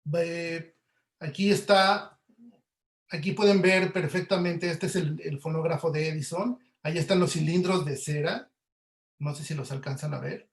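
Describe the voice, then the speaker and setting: A man giving an informal webinar lecture, halting and often checking that listeners follow. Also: fairly high pitch, fairly even intonation. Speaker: audio producer giving a talk. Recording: roomy, echoey webinar audio.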